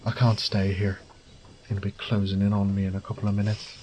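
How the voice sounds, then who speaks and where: A man playing a wry, resourceful thief in an audio drama, muttering gruffly and cursing to himself. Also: fairly low pitch, completely flat delivery, fast talker, darkish tone, close to an everyday voice. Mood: frustrated, scheming. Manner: gruff, sardonic, swearing under his breath. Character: wry, foul-mouthed adult male thief, resourceful under pressure.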